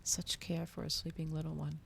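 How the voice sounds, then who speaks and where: A woman reading a novel aloud in a hushed ASMR style, softly and breathily, often slipping toward a whisper. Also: sits low, little pitch movement, moderate pace, gravelly, slight background noise.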